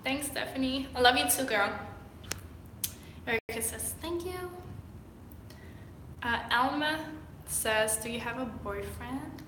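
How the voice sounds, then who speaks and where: A woman's voice: high voice, slow pace, long pauses, bright, forceful tone. Sick woman chatting on a livestream. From Denmark. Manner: chatty, rambling with fillers. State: sick, clearing throat. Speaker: fitness vlogger. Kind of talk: casual livestream Q&A. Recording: echoey room with faint noise.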